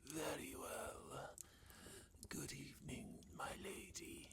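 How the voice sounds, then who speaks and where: A woman puts on a formal servant from a gothic audio roleplay, half whispering with a heavy creak. The delivery is slow, deferential and stiff. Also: low-pitched, heavily acted. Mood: deferential, subdued.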